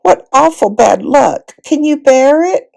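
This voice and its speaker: Lady doing a little girl in a story, lifted pitch, curious and a bit anxious. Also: animated, takes it slowly, creaky, darkish tone, heavily acted, low-quality recording. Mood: dismayed. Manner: questioning, sympathetic.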